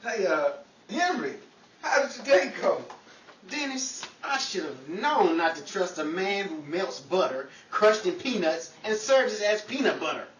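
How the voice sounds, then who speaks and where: A woman's voice: mid-range voice, big pitch swings, a little slow, distant mic. A woman playing a wisecracking, exasperated character in a 1940s-style radio comedy, full of sarcastic quips. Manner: muttered asides, sarcastic quips. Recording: echoey room, off-mic, faint crowd noise.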